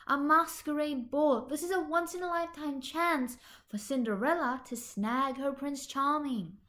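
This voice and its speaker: A woman's voice: sits high, measured pace, fairly bright tone, pitched above the performer's own voice. A woman voicing a bold, bossy teenage girl with an emphatic, theatrical delivery in an audio fan story. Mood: excited commanding.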